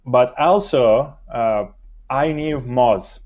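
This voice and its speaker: A young man whose first language is Romanian speaks slowly and haltingly, pausing often to find his words. He is answering questions in a relaxed interview, and his voice sits at an ordinary middle pitch. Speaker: guest, SEO expert.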